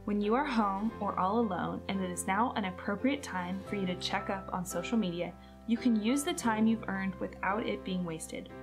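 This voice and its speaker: A woman narrates a promotional product video from a script. Her voice sits at an average pitch, with guitar music playing clearly behind it. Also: moderate pace.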